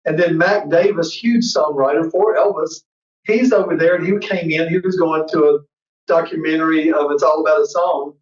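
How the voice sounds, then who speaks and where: Fifty-five yr old guy telling excited rambly stories, echoey room, sounds far from the mic. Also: a little high, normal speed. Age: 50s. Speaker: guest, entertainment show host. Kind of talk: casual interview chat. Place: indoor room. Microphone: far camera on a video call. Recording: roomy, distant, muffled video-call audio.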